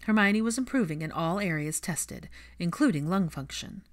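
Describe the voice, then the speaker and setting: A woman's voice: lowish voice, lively intonation, moderate pace. A woman reading fiction aloud as an audiobook narrator, in scripted storytelling style.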